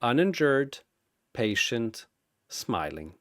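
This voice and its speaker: A man's voice: medium pitch, very animated, slow, a bit bright, dry recording, clean studio sound. A man telling a scripted battle story in a theatrical, intense and emphatic narrator's style. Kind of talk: dramatic scripted fight narration.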